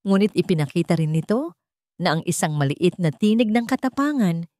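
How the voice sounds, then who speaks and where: Low-voiced woman narrating a sentimental story. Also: animated, fairly quick, mellow, no room sound, studio quality.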